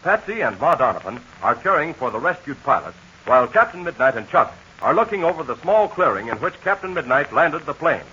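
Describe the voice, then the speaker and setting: A man's voice: on the high side, big pitch swings, fairly quick, sharp tone, husky. A male announcer giving the scripted narration of a 1940s radio serial in a dramatic style. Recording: dull lo-fi 1940 radio transcription.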